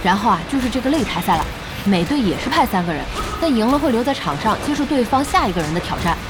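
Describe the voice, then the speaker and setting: Excitable young gamer girl, high swoopy voice, chatty and impatient, audio drama. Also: quick pace, music in the background. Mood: excited, explaining. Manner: animated, exclamatory, chatty. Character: excitable, impatient young woman e-sports fan, Guoguo.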